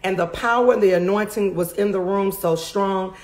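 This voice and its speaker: A middle-aged woman preacher with a raspy voice, recapping a sermon to camera with fervent emphasis from a home study. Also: mid-range voice, moderate pace, a little mellow. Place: home study with bookshelves, indoors.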